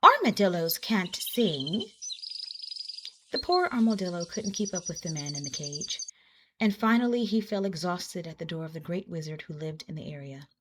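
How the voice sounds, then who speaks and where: A woman storyteller narrating a children's folktale in an expressive style. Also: lowish voice, brisk, a little hiss or hum. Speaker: storyteller and host. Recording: music behind the voice.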